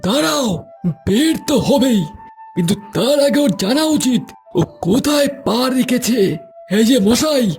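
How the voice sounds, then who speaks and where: A man's voice: very high-pitched, lively intonation, unhurried, a little mellow, faint music behind, heavily acted. An actor playing an arrogant rich businessman in a cartoon, theatrical and haughty, dropping in English phrases. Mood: angry, contemptuous. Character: arrogant rich businessman, contemptuous, doting father. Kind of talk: cartoon voice acting.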